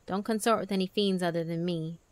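A woman's voice: on the low side, steady tone, brisk, close to an everyday voice. Woman voicing a wistful, weary female fiend. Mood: wondering, happy. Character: gentle female fiend, ancient, weary, wistful, newly freed. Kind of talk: dramatic visual novel narration.

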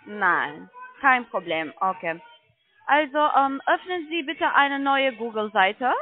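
A woman who is not a native speaker, giving a halting telephone sales pitch as a call centre agent, often checking with questions. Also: lively intonation, dry recording. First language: not German. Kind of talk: persuasive phone sales pitch. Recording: tinny narrow phone line.

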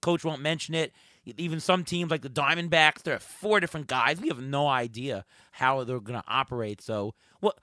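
A slightly hoarse man hosting a casual sports podcast. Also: medium pitch, moderate pace, pushed and bright, no room sound, studio quality. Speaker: podcast host. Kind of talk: casual sports talk with a co-host and guest.

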